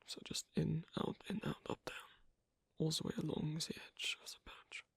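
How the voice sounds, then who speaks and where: A performer voicing an awkward, asocial werewolf in an ASMR roleplay, speaking in a husky half-whisper with halting, terse phrases, as a non-native English speaker. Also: mid-range voice, monotone, dry recording, full character voice. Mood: patient, focused. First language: not English. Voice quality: breathy, husky. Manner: halting, terse, quiet instructions with clipped grammar. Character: asocial, awkward werewolf teaching sewing on a date.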